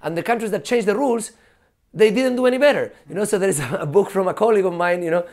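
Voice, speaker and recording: A man, a professor guest in a casual podcast conversation, talking in an animated way with laughs, fillers and swearing. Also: high-pitched, quick pace. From Chile.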